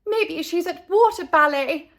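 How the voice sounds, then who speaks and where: A woman's voice: extremely high pitch, measured pace, a bit bright, voice pushed up. A woman voicing a fretful, frazzled storybook mother who calls out anxiously. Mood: urgent. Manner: calling out anxiously. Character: fretful, frazzled mother searching for her daughter.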